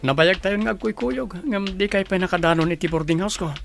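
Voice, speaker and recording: A man's voice: high voice, brisk, vocal fry, fairly bright tone, soft background music. A man acting a protective young student in a radio drama, with a pleading, placating manner. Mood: friendly, inviting. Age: young adult.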